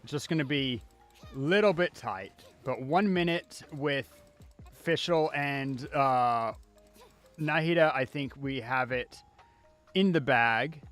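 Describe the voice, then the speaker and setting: A man's voice: medium pitch, slow pace, a bit bright, soft background music. A man giving casual live commentary as he plays a video game, talking half to himself as a streamer. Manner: casual, muttering self-talk while playing.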